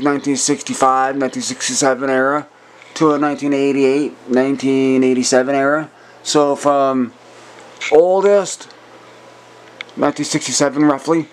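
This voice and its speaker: A man's voice: on the high side, a little hiss or hum. A man casually showing and comparing his shortwave radios in a small room, speaking plainly into a camera mic. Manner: plain, unhurried, trails off mid-phrase. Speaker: radio hobbyist. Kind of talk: casual gear demo.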